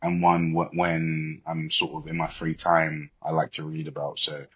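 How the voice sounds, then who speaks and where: A British man in his twenties with an extremely deep, husky voice. He speaks as a podcast guest, measured and reflective with rather formal wording. The recording is dull and muffled. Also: monotone, a bit bright. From born in Liberia, grew up in the UK. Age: 20s. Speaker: podcast guest, corporate banker. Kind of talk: reflective interview talk.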